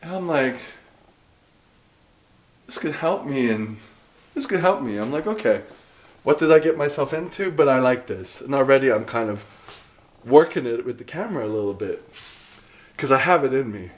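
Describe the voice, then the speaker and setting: A man in his thirties talking casually to a camera at home in a dark room, chatty and rambling, often cutting himself off mid-sentence. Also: medium pitch, expressive, moderate pace, pauses often, reverberant. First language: English. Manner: rambling, chatty, self-interrupting. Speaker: vlogger.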